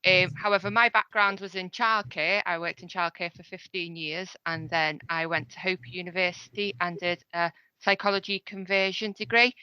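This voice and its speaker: A woman's voice: lowish voice, fairly even intonation, normal speed, sharp tone, clear, resonant tone, dry recording, highs cut off. A woman working on a university research project, introducing herself in a friendly, plain-spoken way on a podcast panel.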